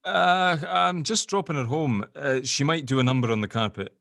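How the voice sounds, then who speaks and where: A man's voice: a little high, moderate pace, gravelly, a bit bright, no room sound, studio quality. A man playing an earnest, exasperated amateur sleuth in a drama table read, hesitant in his explanations. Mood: explaining.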